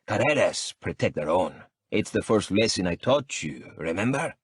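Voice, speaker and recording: A man's voice: lowish voice, very animated, normal speed, husky, no room sound, studio quality, full character voice. A man voicing a cold, menacing older crime boss with a Mexican accent who speaks in a growl, matter-of-fact.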